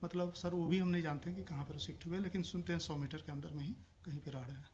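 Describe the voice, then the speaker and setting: A man's voice: on the high side, steady tone, fast, a little mellow. A man with a Bihari Indian accent giving formal, defensive testimony as a police officer, deferentially addressing his superior as sir. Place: courtroom. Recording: muffled courtroom audio with clear noise.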